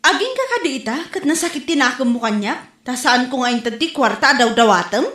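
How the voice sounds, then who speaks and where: Drama role: woman as indignant younger sister, hardworking mom, very high voice, Ilocano accent, roomy echo. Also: very animated, fairly quick, a bit bright. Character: younger sister, fed-up working mother standing her ground. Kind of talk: Ilocano comedy-drama acting.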